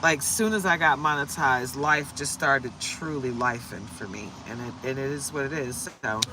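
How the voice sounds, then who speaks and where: A hoarse woman from the United States doing a casual, upbeat tarot livestream and greeting viewers by name. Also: rumbling low, moderate pace, noisy background. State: hoarse from shouting. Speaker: tarot reader.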